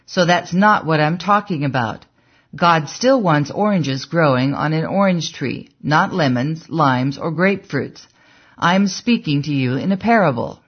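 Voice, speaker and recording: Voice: mid-range voice, lively intonation, measured pace, husky. A reader voicing an earnest, firm man preacher in an audiobook, on a dull, lo-fi recording.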